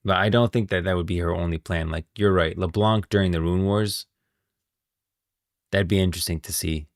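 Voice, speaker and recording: A man's voice: low-pitched, steady tone, a little fast, no room sound, studio quality. A man giving casual live commentary as a game lore streamer, informal and musing aloud.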